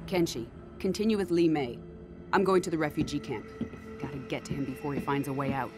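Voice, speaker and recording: A man's voice: on the high side, a little fast, music in the background, natural voice. A man playing a no-nonsense military commander in a video game, giving curt orders. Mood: brisk, stern.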